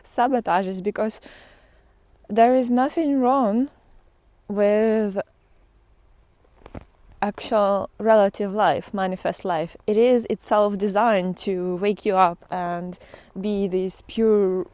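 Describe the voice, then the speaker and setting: A woman giving a casual, unscripted spiritual monologue in a reflective, halting way, often trailing into pauses. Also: medium pitch, fairly even intonation, a little mellow, no echo. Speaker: spiritual teacher vlogger.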